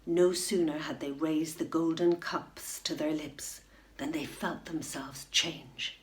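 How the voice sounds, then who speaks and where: Middle-aged woman, bedtime story reading, slow and deliberate, expressive, hushed at tense moments, home webcam. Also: deep voice. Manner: unhurried and expressive, dropping to a hush at tense moments. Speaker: storyteller reading a children's book. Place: room at home, indoors. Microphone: webcam facing the reader.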